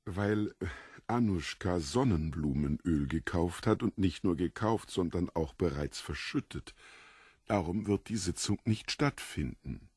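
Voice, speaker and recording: A man's voice: deep voice, lively intonation, a little slow, darkish tone, husky, dry recording, clean studio sound, heavily acted. A man voicing a mysterious, mocking foreign devil in an audiobook, self-assured and theatrically drawn out. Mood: smug teasing. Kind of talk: audiobook character dialogue.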